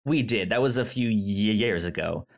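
A man co-hosting a podcast in casual chat, halting and stammering over repeated words. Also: fairly low pitch, gravelly. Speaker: podcast co-host. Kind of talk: casual chat about shows and films.

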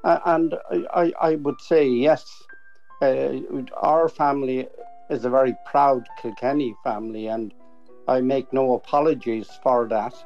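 An older Irish man giving an earnest tribute as an interview guest, emphatic and halting, repeating words as he goes. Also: medium pitch, steady tone, highs cut off, faint music behind.

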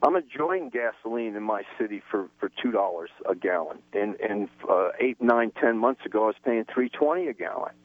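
Man, radio caller, low voice, rambling, hesitant, phone line sound. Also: expressive, a little fast, hardly any pauses, no room sound. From New Mexico, USA. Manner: rambling, hesitant, many restarts. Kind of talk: call-in radio conversation.

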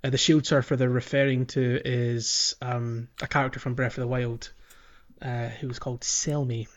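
A man's voice: medium pitch, level tone, moderate pace. A man with a mid-range voice chats as a gaming podcast co-host. He is informal and conversational, often thinking aloud, and the recording is a little dull and lo-fi. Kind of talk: casual gaming podcast chat.

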